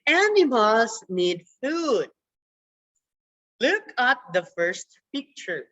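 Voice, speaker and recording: A woman teaching a young children's science class over a video call, repeating words slowly for the kids. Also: on the high side, sing-song, fairly bright tone. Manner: slow, repetitive, drawn-out words for children. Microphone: computer mic on a video call. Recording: dull lo-fi video call audio.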